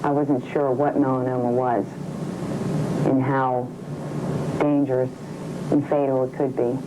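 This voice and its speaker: A woman's voice: rumbling low, flat delivery, measured pace. A woman in her thirties from the United States giving an informal personal account as an interviewed patient. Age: 30s. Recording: dull sound with clear background noise.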